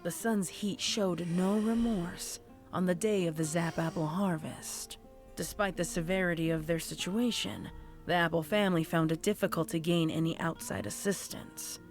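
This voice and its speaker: A woman's voice: fairly low pitch, steady tone, normal speed. Woman narrating a story over music. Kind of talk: scripted audiobook-style horror fan fiction narration.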